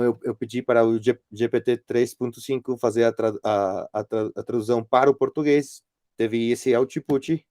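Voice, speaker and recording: A middle-aged man with a Spanish accent, speaking as a non-native and giving a workshop presentation as guest lecturer, halting often with fillers and restarts. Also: mid-range voice, darkish tone, dry recording, studio quality. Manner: hesitant, many restarts and fillers.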